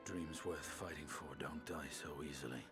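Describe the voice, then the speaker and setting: An actor playing a thoughtful male comrade in a video game, measured and earnest. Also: rumbling low. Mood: firm. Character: steady, thoughtful male comrade defending idealists. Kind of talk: dramatic video game cutscene acting. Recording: music behind the voice.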